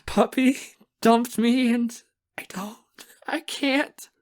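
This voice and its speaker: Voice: medium pitch, steady tone, slow, no echo, clean studio sound, voice pushed up, close to an everyday voice. A narrator voicing a distraught, heartbroken young protagonist in an audiobook, crying and pleading in a choked-up, strained voice. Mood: panicked crying.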